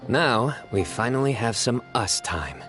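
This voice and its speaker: A man voicing an earnest, composed young man in a video game, with a measured, formal, deadpan delivery. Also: medium pitch, music in the background. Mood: relaxed.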